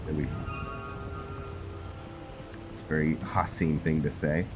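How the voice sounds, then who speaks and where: A man giving casual game commentary, musing and halting as he thinks aloud to himself. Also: a bass voice, level tone, music in the background, poor audio. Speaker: gameplay host narrating a roleplay playthrough.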